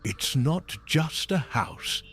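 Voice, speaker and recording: A man voicing a frightened, fascinated woman in a gothic horror story, hesitant and hushed. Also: a little high, expressive, slow pace, breathy edge, faint music behind, pitched above the performer's own voice, exaggerated voice. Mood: uneasy, hushed.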